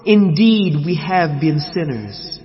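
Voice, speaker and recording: A man with an American accent reading scripture translation in a solemn, measured, declamatory way with emphatic phrases. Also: fairly high pitch, very animated, slight background noise. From USA. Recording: long reverb, slightly muffled sound.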